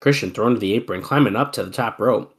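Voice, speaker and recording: A man's voice: on the low side, fast. A man who is sick with a cold, co-hosting a podcast and casually calling the moves of an old wrestling match while bantering with his co-host. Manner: relaxed play-by-play mixed with banter. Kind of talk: casual wrestling commentary chat.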